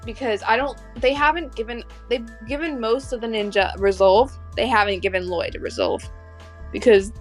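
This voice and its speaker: A woman co-hosting a podcast, talking casually as a fan in a halting way that circles back mid-thought. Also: on the high side, soft background music. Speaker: podcast co-host. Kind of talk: casual fan discussion of an animated series.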